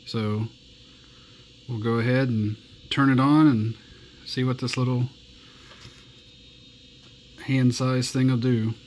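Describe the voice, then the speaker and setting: A man's voice: mid-range voice, a little hiss or hum. A man giving a casual, plainspoken hands-on demo of a pocket radio, walking through its buttons and bands. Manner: relaxed, plainspoken, slow and unhurried. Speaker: radio reviewer.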